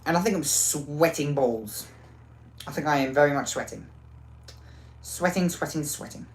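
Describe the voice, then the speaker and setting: Low-voiced excitable racing game commentator. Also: female voice, moderate pace, husky. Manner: excitable, repeats and restarts phrases. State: voice worn out.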